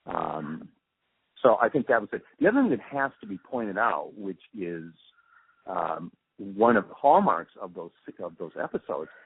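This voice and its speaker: An older man reflecting in an interview, speaking in a halting, thoughtful way with pauses mid-sentence. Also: sits low, slightly rough, dry recording. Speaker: radio drama sound designer and producer being interviewed. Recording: muffled phone-like narrowband line.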